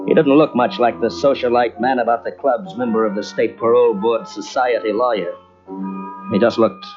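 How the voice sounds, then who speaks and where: A man's voice: lowish voice, close to an everyday voice. An actor playing a young male engineer, newly married, narrating a murder mystery in 1940s radio style. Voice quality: urgent first-person narration swinging to shouted calls. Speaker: lead actor narrating his part. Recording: dull lo-fi old radio tape with music.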